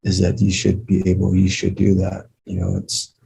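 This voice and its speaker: Brief: male guest speaker, gravelly and relaxed, giving earnest, halting, informal remarks from the heart. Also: deep voice, rough recording. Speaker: guest speaker, screenwriter and mentor.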